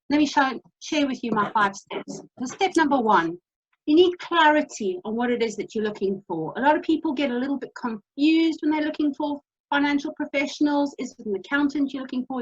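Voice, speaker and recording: A woman from South Africa with a South African accent, giving a brisk, practical presentation over a video call. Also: high voice, husky, dull audio. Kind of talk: presentation talk.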